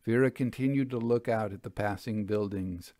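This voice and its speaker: A man's voice: lowish voice, moderate pace, warm tone, dry recording. A man reading scripted narration for a period mystery story as an audiobook narrator.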